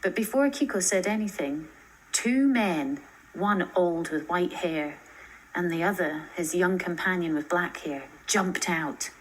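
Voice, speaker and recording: A woman's voice: fairly low pitch, unhurried, low-quality recording. A woman reading a scripted story aloud as its narrator.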